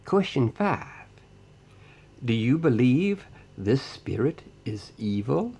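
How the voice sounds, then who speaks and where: An actor playing a short, polite hillbilly ghost hunter's assistant in an audio drama, folksy and deferential. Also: male voice, mid-range voice, big pitch swings, takes it slowly, gravelly, mellow, breathy edge, exaggerated voice. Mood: polite, businesslike questioning. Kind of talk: audio drama character dialogue.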